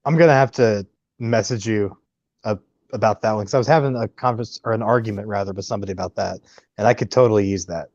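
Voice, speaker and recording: A man's voice: on the low side, fast talker, darkish tone, no room sound. A man from Indiana with a Midwestern accent mixed with some Southern, chatting casually on a dull lo-fi online call. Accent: American Midwestern with Southern touches. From Indiana, United States. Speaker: livestream panelist. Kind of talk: casual chat.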